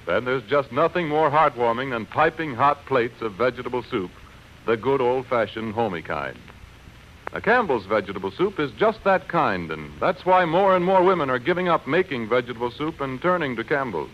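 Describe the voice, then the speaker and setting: An American male announcer of mid pitch delivers a scripted 1940s radio pitch. He is folksy, emphatic and persuasive. The recording sounds dull and dated. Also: lively intonation, moderate pace.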